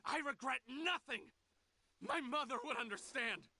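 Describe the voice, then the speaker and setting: Bitter, animated villain, bright-edged. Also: male voice, extremely high pitch, a little slow, no room sound, full character voice. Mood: defiant, indignant. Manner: theatrical, scornful, indignant. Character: bitter, defiant male villain, disguised criminal researcher.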